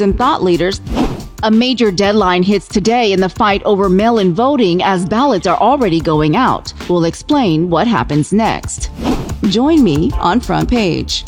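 A woman who hosts a podcast, reading a scripted promo clearly and at a measured pace. Soft music runs underneath. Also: mid-range voice. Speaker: podcast host.

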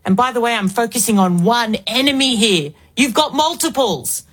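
Australian woman, 40s, talk radio host; emphatic rhetorical delivery, fairly high voice. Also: animated, normal speed, breathy edge. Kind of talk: political talk radio monologue.

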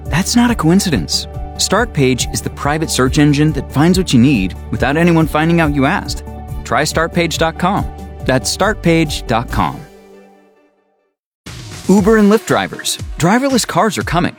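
A man's voice: on the high side, big pitch swings, normal speed, faint music behind. A man reading scripted radio ads in a punchy, persuasive way. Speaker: ad announcer. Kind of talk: scripted ad read.

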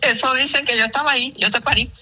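Mother: older woman, very bright tone, warm-hearted banter. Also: sits high, fairly even intonation, breathy edge. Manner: affectionate, laughs often, quick comebacks. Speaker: host's mother on the phone. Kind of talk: casual phone chat.

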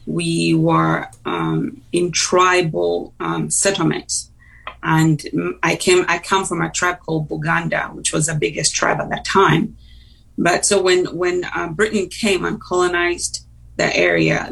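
Woman in her forties, husky voice, reflective guest speaking slowly, with fillers. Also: low voice, recorded from a distance, rough recording. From Uganda. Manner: thoughtful, unhurried, with frequent pauses and fillers. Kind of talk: personal testimony in a talk-show interview.

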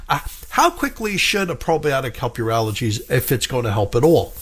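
Husky middle-aged male radio host. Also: medium pitch, a bit bright. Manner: enthusiastic, emphatic, repeats for stress. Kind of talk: radio health talk monologue.

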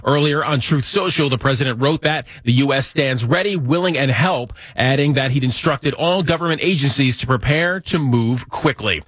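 A man's voice: medium pitch, moderate pace, few pauses, a bit bright, no echo. A man with an American accent reading scripted radio news as an anchor. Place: dry studio.

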